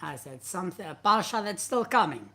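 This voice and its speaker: A man's voice: sits high, animated, a little fast, sharp tone. Afrikaans-accented man in his thirties who hosts a live Q&A from his home study, talking to a webcam; blunt and chatty as he reads and answers viewers' questions. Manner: candid, blunt and conversational, gestures while talking. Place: indoor home study with bookshelves. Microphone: webcam at a desk, seated.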